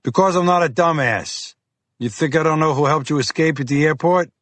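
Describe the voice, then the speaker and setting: A man putting on a gruff Boston FBI agent. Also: a little high, a little fast, a bit bright, dry recording, pitched above the performer's own voice. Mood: scornful. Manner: brusque, bossy, mocking. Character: gruff sarcastic tubby male FBI agent, Boston accent.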